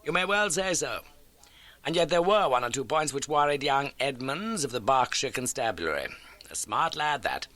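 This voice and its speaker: A man voicing a brisk, keen, masterful male detective in an audiobook, with emphatic, animated and incisive delivery. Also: medium pitch, no echo, pitched above the performer's own voice. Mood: musing, brisk. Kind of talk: audiobook character dialogue.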